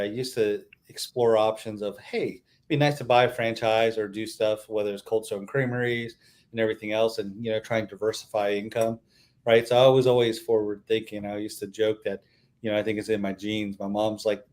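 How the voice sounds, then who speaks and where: A middle-aged man speaking as an interview guest, telling his story in a steady, earnest way with plenty of fillers. His voice sits on the low side. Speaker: restaurant owner, interview guest. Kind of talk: casual interview chat.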